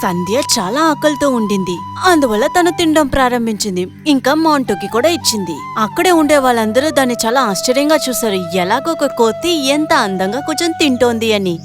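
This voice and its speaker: A woman's voice: sits high, expressive, a little fast, music in the background. A woman narrator reading a scripted moral story.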